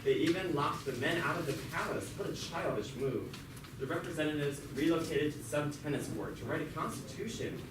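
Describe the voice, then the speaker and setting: A man's voice: a little high, a little fast, lightly acted. A man playing a talkative jailed revolutionary who narrates his story in an amateur puppet show with sardonic, stumbling asides. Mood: sardonic storytelling. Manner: conversational, sardonic asides, stumbling over words. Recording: echoey, very noisy, distant mic.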